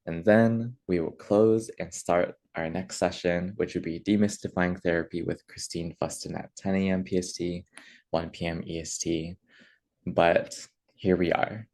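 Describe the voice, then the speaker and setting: A low male-sounding voice hosting a conference conversation in an earnest, measured way. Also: steady tone, darkish tone. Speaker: conference host and interviewer. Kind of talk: facilitated plenary conversation, opening remarks.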